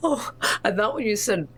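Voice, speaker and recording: A woman playing a tabletop roleplaying game, chatting casually and thinking aloud in an animated way. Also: medium pitch, slightly rough. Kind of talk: casual improvised roleplay chat.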